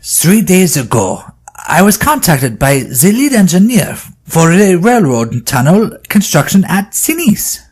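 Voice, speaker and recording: A man playing an anxious French scholar in an audio drama, with a French accent and a husky voice. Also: high-pitched, very animated, a little slow, exaggerated voice. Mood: concerned. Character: anxious French male scholar, old friend welcoming guests.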